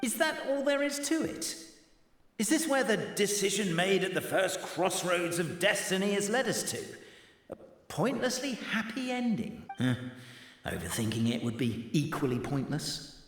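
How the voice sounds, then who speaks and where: A man's voice: sits high, sing-song, normal speed. A man playing a cryptic, theatrical storybook narrator in a game, with dramatic delivery. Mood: musing. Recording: echoey reverberant hall, music behind.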